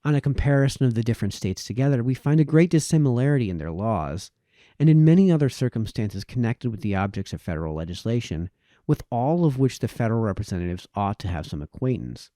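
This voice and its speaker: A man reading a formal historical essay aloud as narrator, in a deliberate, expository manner with varied emphasis. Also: medium pitch, expressive, warm tone, no echo, clean studio sound. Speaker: reader, narrator.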